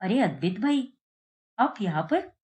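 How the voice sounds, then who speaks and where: A woman's voice: medium pitch, big pitch swings, unhurried, a little mellow. A woman voicing a suspicious young wife in a cartoon story, complaining and sarcastic. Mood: puzzled. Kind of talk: Hindi cartoon moral-story voice acting.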